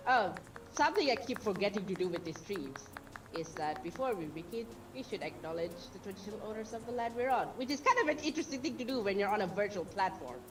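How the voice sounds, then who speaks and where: A woman's voice: mid-range voice, fast talker, background noise. A woman, hosting a casual livestream chat and laughing often. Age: 30s. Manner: chatty, lively, laughs often. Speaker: stream host.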